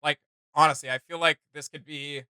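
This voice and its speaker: Bright-voiced man, rambling fan commentary. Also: on the high side, steady tone, moderate pace, smooth, dry recording, clean studio sound. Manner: rambling, slangy, enthusiastic fan talk. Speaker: reaction video host. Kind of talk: casual unscripted reaction commentary.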